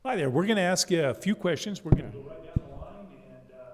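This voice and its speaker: A man's voice: on the high side, lively intonation, noisy background. A man chairing council interviews, moving things along in a procedural way. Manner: brisk, procedural. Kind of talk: meeting procedure. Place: city council chamber. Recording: echoey council chamber.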